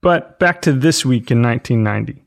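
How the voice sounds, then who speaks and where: A man's voice: medium pitch, expressive, brisk, vocal fry. A man of about forty, an enthusiastic podcast host talking about pop culture. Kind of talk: scripted narration and casual chat about pop culture.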